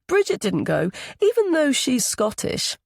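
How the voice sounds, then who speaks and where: A woman's voice: high-pitched, huge pitch swings, measured pace, vocal fry, no echo, studio quality, pitched above the performer's own voice, exaggerated voice. A woman voicing a chatty, earnest, innocently blunt young boy in an audiobook. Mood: eager, chatty. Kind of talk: audiobook character dialogue.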